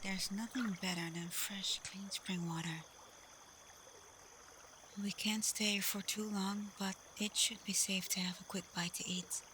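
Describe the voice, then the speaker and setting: A woman playing a caring, protective, frank female werewolf pack leader in a soft, breathy, reassuring ASMR roleplay. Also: medium pitch, fairly even intonation, a little slow, background noise, lightly acted. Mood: caring, coaxing.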